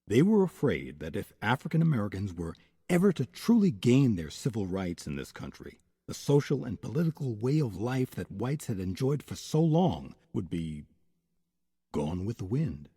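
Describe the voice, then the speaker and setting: A man hosting and narrating a radio-style history program, reading a script in a measured, earnest way. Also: on the low side, very animated, warm tone, husky, no echo, studio quality. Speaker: host and narrator. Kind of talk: scripted narration and closing credits.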